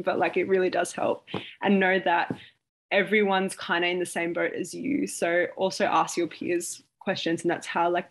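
A young adult woman, a university student, giving casual, chatty advice with lots of "like". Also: lowish voice.

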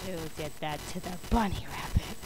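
Woman playing an unhinged, shrill kindergarten teacher. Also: big pitch swings, moderate pace, heavily acted, low-quality recording. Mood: menacing. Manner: theatrical, mock-sweet turning to shrieking. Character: unhinged, vicious female kindergarten teacher with a cruel streak. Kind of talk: comedy sketch acting.

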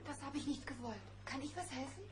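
Helle junge Prinzessin, von einer Frau gespielt. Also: female voice, high-pitched, brisk, natural voice. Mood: eager, helpful. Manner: polite, gently insistent. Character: earnest, eager young princess. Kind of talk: children's cartoon audio drama. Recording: noisy old cassette recording.

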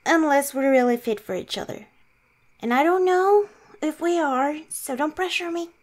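A woman playing a shy, awkward young werewolf girlfriend in improvised ASMR roleplay, halting and self-mocking. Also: sits high, lively intonation. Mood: flustered, insecure.

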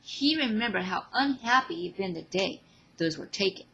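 Husky woman narrating a story. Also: mid-range voice, expressive, moderate pace, sharp tone, lo-fi sound.

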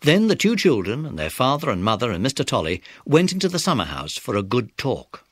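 An actor as the narrator of a children's adventure, reading scripted narration in a measured way. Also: male voice, mid-range voice, wildly swooping pitch, fairly bright tone, slightly rough, exaggerated voice.

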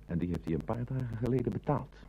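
An actor playing a dutiful police detective in a radio play, reporting in a matter-of-fact, clipped way. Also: male voice, medium pitch, animated, fast talker, gravelly, dark tone, husky, low-quality recording. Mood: factual, knowing. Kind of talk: Dutch radio play acting.

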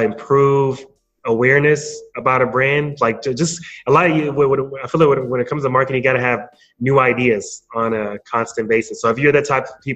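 A man's voice: mid-range voice, fairly bright tone. Man chatting casually, slightly dull audio. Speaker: podcast guest, marketing speaker. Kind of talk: casual interview conversation.

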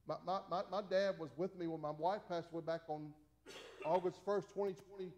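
Fast-talking folksy preacher, forties. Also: male voice, sits high, fairly even intonation, darkish tone. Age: 40s. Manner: folksy, repetitive, stammering restarts, frequent "amen". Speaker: pastor. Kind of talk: Bible study sermon. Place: small church sanctuary, at a pulpit.

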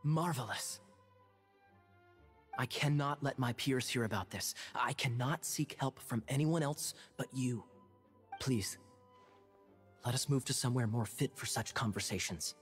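An actor playing a sly, teasing knight captain. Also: male voice, mid-range voice, unhurried, long pauses, soft background music, close to an everyday voice. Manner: smooth, theatrical, playfully mocking. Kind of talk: game cutscene dialogue.

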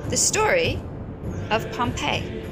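A woman with a fairly high voice reads a children's story aloud. Her phrasing is measured and deliberate, with pauses between phrases. Prominent music plays behind her throughout. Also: big pitch swings. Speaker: read-aloud teacher and storyteller. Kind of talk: expressive read-aloud of a children's picture book.

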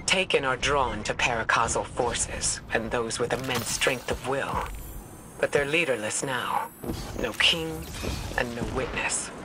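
A man playing a serious, learned strategist in a video game, speaking in a measured, analytical way. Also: mid-range voice, noisy background, lightly acted. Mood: grave, analytical. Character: grave, learned male strategist advising a soldier. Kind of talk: scripted video game dialogue.

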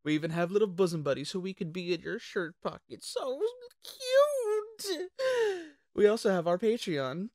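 A man's voice: high voice, very animated, moderate pace, a little mellow, no room sound, studio quality. A man hosting, reading a scripted promotion and thanks to viewers. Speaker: channel host.

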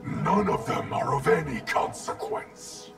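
Gravelly, menacing undead wraith assassin. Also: male voice, a little high, lively intonation, measured pace. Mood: cold menace. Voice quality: gravelly, growling. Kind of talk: video game fight intro dialogue. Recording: distant-sounding game audio under loud music.

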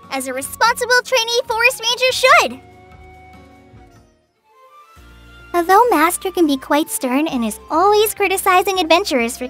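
A woman voicing a shy, earnest young trainee forest ranger girl in a video game, hesitant and sincere. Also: very high-pitched. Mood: earnest, fond. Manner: hesitant, soft-spoken, sincere. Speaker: game character voice. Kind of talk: scripted video game character lines. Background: background music.

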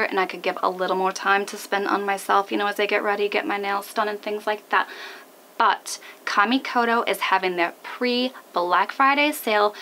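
A woman's voice: medium pitch, sharp tone, slight background noise. A woman in her thirties hosting a casual sailing vlog, chatty and upbeat, talking to a handheld camera. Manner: chatty, upbeat, a bit playful. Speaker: sailing vlogger. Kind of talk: casual vlog chat and sponsor read. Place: out on a fishing boat on open water. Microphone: handheld camera held at arm's length.

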